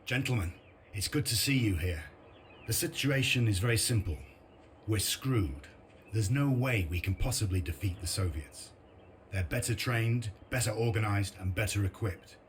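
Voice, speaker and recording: An actor playing a grim, sardonic resistance commander in a video game, with a blunt, dry, determined delivery. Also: male voice, on the low side, normal speed, slight background noise, close to an everyday voice. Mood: grim resolve. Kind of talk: video game cutscene narration and briefing.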